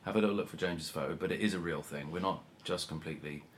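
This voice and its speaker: A man in his forties co-hosting a podcast in casual chat, teasing his friend and trailing off quietly at the ends of thoughts. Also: low voice, racing, slight background noise. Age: 40s. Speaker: podcast co-host.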